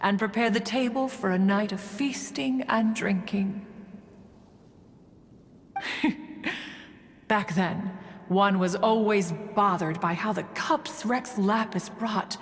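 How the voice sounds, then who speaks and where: A woman's voice: medium pitch, takes it slowly, long pauses. A woman playing a proud, stately immortal adeptus in a video game, speaking in formal archaic phrasing and calling herself "one". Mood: fond reminiscence. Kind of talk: scripted game dialogue, storytelling. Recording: reverberant hall sound, music behind.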